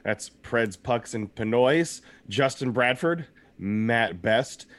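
A young man with a slightly hoarse voice co-hosts a casual podcast. He rambles cheerfully, laughs easily and keeps the chat loose, sitting at an everyday middle pitch. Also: lively intonation, takes it slowly, fairly bright tone. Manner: upbeat, rambling, laughs often. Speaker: podcast co-host.

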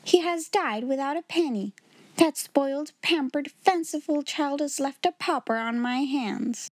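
A woman's voice: high-pitched, measured pace, dry recording, pitched above the performer's own voice. A woman voicing a stern, furious schoolmistress from a storybook, speaking in a fierce, commanding and bitterly ironic way. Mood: cold fury. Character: stern, furious, hard-hearted middle-aged schoolmistress. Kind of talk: storybook character voice.

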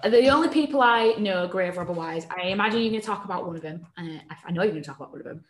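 A woman's voice: mid-range voice, expressive, rapid-fire, slight background noise. A woman co-hosting a podcast, teasing and laughing in colloquial slang. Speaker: podcast co-host. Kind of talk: casual banter. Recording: roomy echo.

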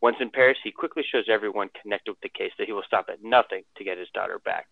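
A man's voice: on the low side, fast, vocal fry, no room sound. A man co-hosting a casual podcast, reading a film synopsis and chatting loosely over a tinny phone line. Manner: reads the synopsis aloud, then chats loosely. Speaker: co-host.

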